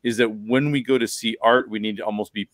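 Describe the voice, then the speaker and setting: Low-voiced middle-aged man hosting a podcast. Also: fairly even intonation, a little fast, fairly bright tone, no room sound, clean studio sound. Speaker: podcast host. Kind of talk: conversational film analysis. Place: bedroom, video call. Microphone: close podcast microphone.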